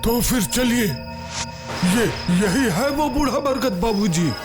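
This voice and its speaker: A man's voice: very high-pitched, animated, slow, over music, exaggerated voice. A man playing a wary, deferential local driver in a horror audio drama, with a strained, put-on voice. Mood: wary, ominous. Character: wary local driver warning of a haunted tree.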